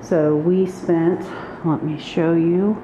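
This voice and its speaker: A middle-aged woman with a lowish voice talks casually through a haul for her vlog. She is plain-spoken and itemizes as she goes. The sound is roomy and echoey, with clear background noise. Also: fairly even intonation, slow pace. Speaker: vlogger. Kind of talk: casual grocery haul narration. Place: hard-surfaced kitchen indoors. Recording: echoey, muffled, noisy room recording.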